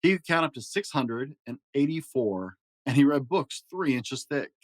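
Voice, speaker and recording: A man's voice: mid-range voice, lively intonation, normal speed, no room sound. Man reading a children's storybook, dry close sound. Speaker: podcast host reading a children's storybook aloud. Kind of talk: expressive storybook narration.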